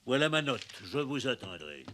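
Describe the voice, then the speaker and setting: Self-pitying villain, cartoon, blustering, bright hard tone, faint noise behind. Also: male voice, mid-range voice, very animated, moderate pace. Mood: greedy. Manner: theatrical, blustering. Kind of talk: cartoon voice acting.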